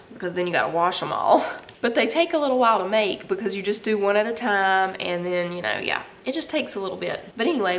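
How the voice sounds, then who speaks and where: A woman's voice: mid-range voice, fairly bright tone, a little hiss or hum. A woman, chatting casually to camera in her living room, rambling and laughing as she goes. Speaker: family vlogger. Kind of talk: casual vlog chat.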